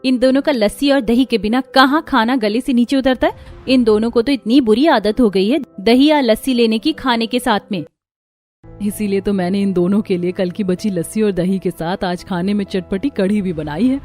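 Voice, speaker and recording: Woman as a gentle, mellow-voiced young mother. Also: mid-range voice, moderate pace, pauses often, natural voice. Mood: fond. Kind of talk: cartoon story acting. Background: music.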